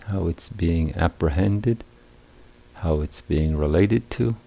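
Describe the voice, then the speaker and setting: A man teaching guided meditation at a retreat, speaking in deliberate, hesitant phrases with long pauses. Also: deep voice, vocal fry, warm tone, low-quality recording.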